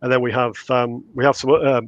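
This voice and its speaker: A man's voice: mid-range voice, level tone, fairly quick, no echo, lo-fi sound. A man in his fifties with a Geordie accent, a guest author chatting in an interview and hesitating with lots of fillers. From England. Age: 50s. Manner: hesitant, many fillers and restarts. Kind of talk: casual interview chat.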